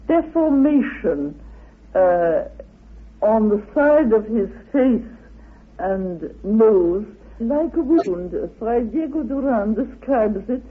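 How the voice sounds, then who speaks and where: Interviewed researcher, slow and husky, halting explanations, thin narrow-band radio recording. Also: mid-range voice. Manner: deliberate, halting delivery.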